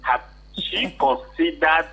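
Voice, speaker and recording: Husky Nigerian man on a tinny phone line. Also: on the high side, slow pace, pushed and bright. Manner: insistent, questioning, repeats points for emphasis. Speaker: call-in contributor. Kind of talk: unscripted advice on air.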